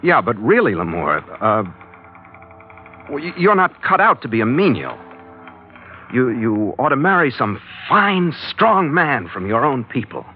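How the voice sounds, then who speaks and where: An actor playing a wry, rugged sea captain in an old radio adventure, with clipped hard-boiled delivery. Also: male voice, medium pitch, sing-song, a little slow. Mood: awkward. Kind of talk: 1940s radio adventure acting and narration. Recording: muffled old radio recording with music.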